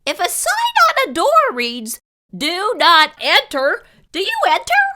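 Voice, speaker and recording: Woman voicing a grumpy, high-pitched storybook pig writer. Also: wildly swooping pitch, a little slow, bright, forceful tone, full character voice. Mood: indignant. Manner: indignant, scolding, emphatic. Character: grumpy, bossy male pig writer in a picture book. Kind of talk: animated children's read-aloud character voice.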